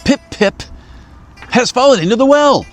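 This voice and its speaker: A man's voice: extremely high pitch, expressive, normal speed, soft background music, pitched above the performer's own voice. Man voicing a breathless frantic little boy. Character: small boy, breathless and earnest.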